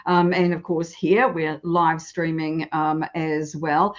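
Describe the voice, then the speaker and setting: A woman's voice: sits low, level tone, slow pace. Chatty woman host on dull audio. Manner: chatty with many ums, laughs lightly. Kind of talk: live stream interview.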